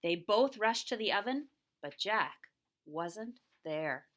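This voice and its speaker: A woman's voice: medium pitch, animated, slow pace, a bit bright. A woman narrating a scripted children's story as the storyteller of a puppet show.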